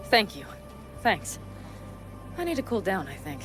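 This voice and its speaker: A woman's voice: medium pitch, big pitch swings, a little slow, music in the background. An actress playing a fiery, earnest young nomad woman in a cinematic video game. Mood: grateful.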